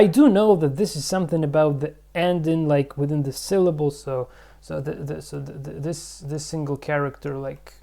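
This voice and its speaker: Gravelly, mellow-voiced man rambling. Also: on the high side. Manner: rambling, thinking aloud with many fillers. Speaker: channel host. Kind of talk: casual explanatory vlog.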